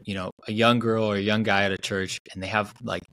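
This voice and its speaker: A man's voice: lowish voice, flat delivery, quick pace, fairly bright tone, dry recording. A man in his thirties, a guest author in a casual video-call conversation, talking animatedly with many restarts and fillers. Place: small home room on video call. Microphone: video call mic.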